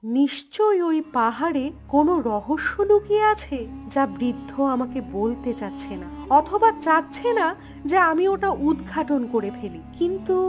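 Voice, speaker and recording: A woman voicing a curious, defiant young captive girl in a cartoon, with an earnest, protesting manner. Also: sits high.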